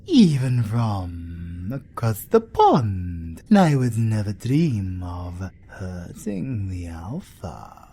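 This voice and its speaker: An actor playing a suave, teasing male vampire leader with a British accent and a breathy, theatrical, flirtatious drawl in an audio roleplay. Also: fairly low pitch, big pitch swings, very slow, few pauses, dark tone, soft background music, full character voice. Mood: courtly, teasing.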